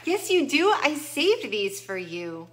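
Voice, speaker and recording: A woman's voice: high-pitched, sing-song, slow pace, fairly bright tone, full character voice. A woman voicing a polite, timid little male mouse in a storybook read-aloud. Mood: earnest. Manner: shy, earnest and polite.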